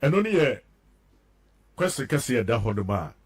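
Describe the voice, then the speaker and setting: A Ghanaian man with a mid-pitched voice and very varied intonation moderates a radio talk show. He speaks with the sound of a native Twi speaker and mostly comes in with short interjections. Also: big pitch swings, normal speed. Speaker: radio host.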